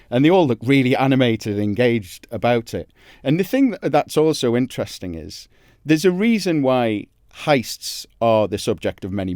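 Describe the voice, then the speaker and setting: A man's voice: medium pitch, darkish tone, no echo, studio quality. A man with a Geordie accent, a crime journalist hosting a podcast, telling the story dramatically and chatting with jokes. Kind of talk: scripted narration then casual chat.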